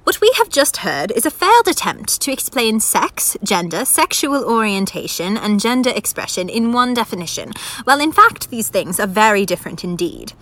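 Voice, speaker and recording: Radio play narrator woman: literary, measured, philosophical, mid-pitched, faintly lecturing delivery. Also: very animated, bright, forceful tone. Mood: formal, reflective.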